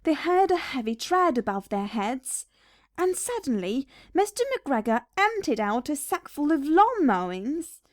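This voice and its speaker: Female narrator for children's read-aloud, fairly high and very melodic, expressive scripted delivery, dry sound. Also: dry recording. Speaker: storybook narrator.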